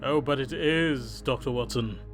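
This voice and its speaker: An actor playing a fanatical, composed male villain in an audio drama, speaking in grandiose, deliberate speeches. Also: mid-range voice, faint music behind, natural voice. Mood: smug, fanatical.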